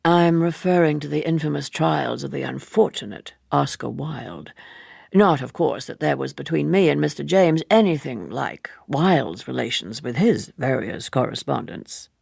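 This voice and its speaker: A woman voicing a suave, worldly gentleman in an audiobook, leisurely, wordy and teasingly ironic, an amused seducer. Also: low voice, animated, moderate pace, creaky, slightly rough, no room sound, studio quality, exaggerated voice. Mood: exasperated, genial. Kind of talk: audiobook character dialogue.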